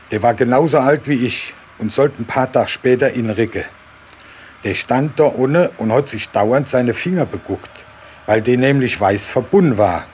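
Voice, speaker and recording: Older male eyewitness, mid-pitched, folksy spontaneous storytelling, light background noise. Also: darkish tone. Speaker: eyewitness telling his memories.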